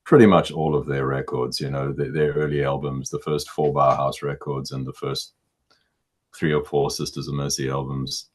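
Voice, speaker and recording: Man, middle-aged, extremely low baritone, casual interview answers, repeats words, halting. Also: little pitch movement. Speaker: musician interviewee.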